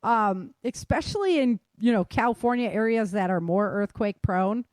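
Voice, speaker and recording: A woman's voice: mid-range voice, moderate pace, a little mellow, no echo. American woman hosting, close dry sound. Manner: explanatory, often checks in with "right?" and "you know". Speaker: podcast host and author. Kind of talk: casual podcast discussion.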